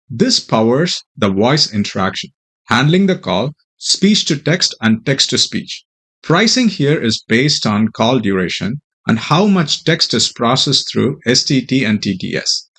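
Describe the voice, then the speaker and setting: A man narrating a screen-share software tutorial, explaining things step by step as the presenter. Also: medium pitch, slightly rough.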